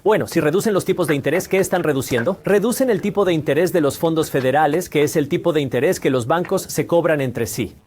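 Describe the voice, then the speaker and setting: A man's voice: a little high, quick pace, few pauses, a little mellow. A man hosting a scripted finance explainer, laying out points in a didactic question-and-answer manner. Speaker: host of a finance explainer channel. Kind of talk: scripted explainer narration, dubbed from another language.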